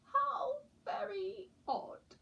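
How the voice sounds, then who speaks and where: A woman reading a storybook as a kindly, contented old lady with a drawn-out, wistful manner. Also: very high voice, vocal fry, distant mic, dull audio, pitched above the performer's own voice, exaggerated voice. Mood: dreamy, fond.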